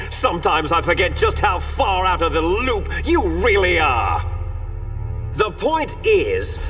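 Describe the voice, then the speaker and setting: An actor playing a vengeful, boastful British rebel soldier in a video game, sneering and grandiose. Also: male voice, high-pitched, a bit bright, recorded from a distance, full character voice.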